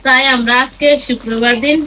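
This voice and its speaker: A woman's voice: on the high side, steady tone, brisk, pushed and bright. A young adult woman giving casual, colloquial step-by-step narration as a home cook. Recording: noisy ambient background.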